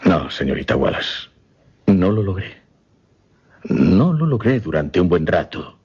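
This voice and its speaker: An actor playing an earnest, formal mesmerist in a horror radio play, grave and dramatic, with a low, husky voice. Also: male voice, big pitch swings, a little slow, a little mellow, lo-fi sound, full character voice. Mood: grave, defensive. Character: earnest male mesmerist recounting and conducting a grim experiment. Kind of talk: Spanish-language horror radio play acting.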